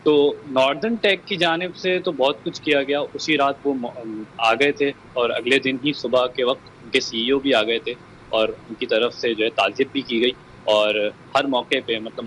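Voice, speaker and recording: A man giving a measured account and appeal as an interviewed relative, dropping in English words. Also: medium pitch, fairly bright tone, low-quality recording. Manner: code-switches into English words. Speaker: bereaved relative being interviewed. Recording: faint background noise.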